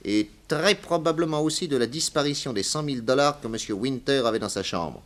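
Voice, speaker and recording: An actor playing a shrewd private detective, assured, ironic and commanding, in a 1960s French radio crime drama. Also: male voice, medium pitch, lively intonation, brisk, natural voice. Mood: accusing.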